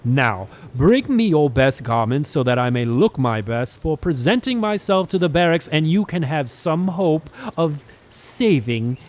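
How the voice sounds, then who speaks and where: Deposed nobleman, pompous, indignant, slow and animated, scornful, formal, fantasy audio drama. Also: a little high. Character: haughty, indignant deposed lord, sneering at peasants.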